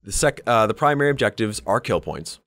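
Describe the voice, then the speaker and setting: A man's voice: lowish voice, big pitch swings, brisk, a bit bright, no room sound, clean studio sound. A man co-hosting a podcast in casual, joking, sweary banter. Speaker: podcast co-host. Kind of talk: casual banter about tabletop wargaming.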